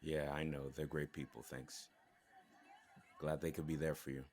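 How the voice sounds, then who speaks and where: An actor playing a withdrawn young man who answers curtly and quietly. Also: rumbling low, flat delivery, normal speed, a little hiss or hum. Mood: subdued. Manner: curt, subdued. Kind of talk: audio drama acting.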